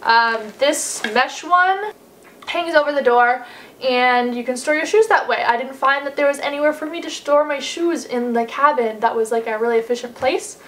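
A woman's voice: high-pitched, moderate pace, a bit bright, slight background noise. A woman in her twenties chatting through a casual packing vlog in a living room, upbeat and full of "like"s and "um"s. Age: 20s. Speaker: vlogger. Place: carpeted living room by a fireplace, indoors.

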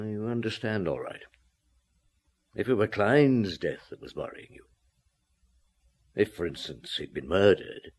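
An actor playing a shrewd police inspector who questions deliberately, in old radio drama style. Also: male voice, deep voice, a little mellow, slightly rough, heavily acted. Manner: deliberate, interrogating.

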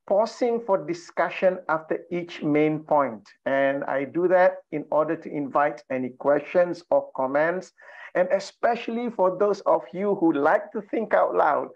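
A middle-aged man from Malaysia hosting an online workshop on a video call, welcoming people with lots of "uh" fillers. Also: on the high side. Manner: frequent "uh" fillers, warm and laughing. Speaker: trainer and coach hosting an online session. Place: home room with bookshelves. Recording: slightly dull video call audio.

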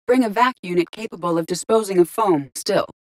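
A woman voicing a calm, curious, literal-minded female ship artificial intelligence in a video game, speaking formally and precisely. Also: medium pitch, lightly acted. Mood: calm, analytical. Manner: measured, formal, precise diction. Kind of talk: scripted video game character dialogue.

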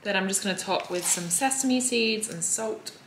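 A woman's voice: medium pitch, normal speed, slight background noise. A young adult woman, chatting casually and emphatically as she walks through recipes in a home kitchen. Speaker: food and fitness vlogger. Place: modern hard-surfaced home kitchen, indoors. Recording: roomy kitchen echo.

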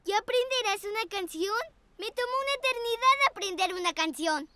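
A performer voicing an eager, earnest little boy panda cub in a children's cartoon, excitable and childlike. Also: extremely high pitch, brisk, very noisy. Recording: music behind the voice.

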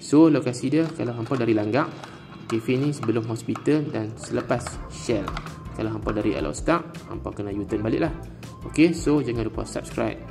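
A man in his thirties with a northern Malaysian Kedah accent, narrating a casual vlog in a gravelly voice. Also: mid-range voice, moderate pace. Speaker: vlogger. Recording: music playing over the voice.

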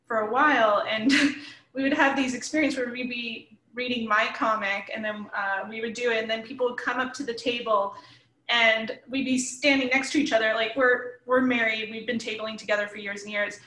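A woman in her thirties talks casually as a panel guest. Her voice sits a little high and rings clearly bright. The room adds a strong echo over a video call. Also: steady tone, normal speed. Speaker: interviewed comics creator. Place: living room at home, indoors. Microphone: computer mic at a short distance, video call. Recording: roomy, echoey home video call.

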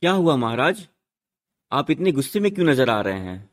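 A man's voice: medium pitch, big pitch swings, brisk, lightly acted. A man playing a courteous, protective royal husband who is torn and troubled, in a radio drama. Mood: alarmed. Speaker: actor in a student radio drama.

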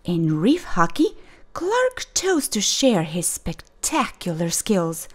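Female storybook reader: dramatic and deliberate, wide swings in pitch, very slow pace. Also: huge pitch swings, husky. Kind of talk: expressive children's read-aloud narration.

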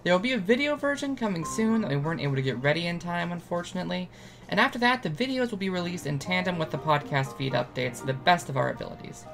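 A woman's voice: on the low side, expressive, fast, gravelly, faint music behind. A woman speaking as director and editor, delivering a scripted announcement and credits.

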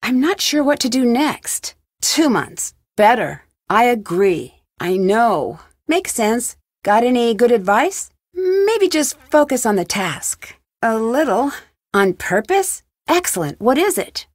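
A woman's voice: fairly high pitch, big pitch swings, slow pace, dry recording, clean studio sound, full character voice. A woman playing an inquisitive, lightly wry young female detective in video game dialogue. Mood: curious, friendly. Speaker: video game lead character. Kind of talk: video game dialogue, separate questions and replies.

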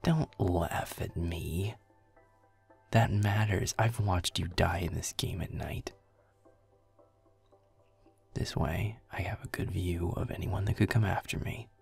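A man's voice: low voice, slow pace, creaky, long pauses, darkish tone, husky, soft background music, heavily acted. A man playing a grumpy, defensive werewolf boyfriend in a roleplay audio, muttering sarcastically as he plays a video game. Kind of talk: roleplay audio, gaming chat with listener.

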